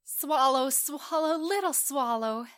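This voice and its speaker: A woman plays a noble statue prince from a storybook. The voice is lifted high, earnest and sorrowful, pleading with compassion yet giving commands. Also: a little slow, fairly bright tone. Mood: pleading, compassionate. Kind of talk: storybook character voice.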